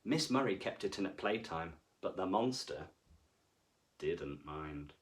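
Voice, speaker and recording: A man reading to children as a storyteller, with expressive, deliberate and dramatic phrasing. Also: low voice. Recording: voice far from the mic.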